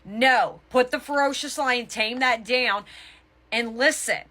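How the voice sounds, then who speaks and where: A woman's voice: a little high, pushed and bright. A woman giving a casual tarot reading to viewers, speaking emphatically and often stopping to correct herself mid-thought. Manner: emphatic, halting and self-correcting. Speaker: tarot reader.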